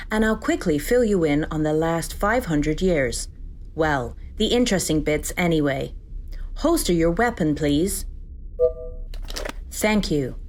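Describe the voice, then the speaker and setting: An actress playing a brisk, bossy female AI implant with a wry, matter-of-fact manner, in a game-style audio drama. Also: fairly low pitch, a little mellow. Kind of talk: scripted audio drama parodying video game tutorials.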